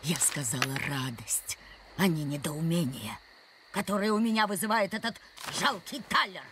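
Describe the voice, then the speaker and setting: A woman's voice: lowish voice, moderate pace, background noise, close to an everyday voice. A woman voicing a scornful, strict cartoon pirate-school teacher who lectures in a declamatory way. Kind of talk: cartoon voice acting.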